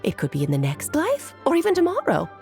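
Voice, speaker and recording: A woman's voice: sits high, wildly swooping pitch, fast, vocal fry, a little mellow, soft background music, full character voice. A woman playing a playful, scheming, self-assured mage aunt in a video game, airy and teasing in a theatrical way. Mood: breezy, explaining.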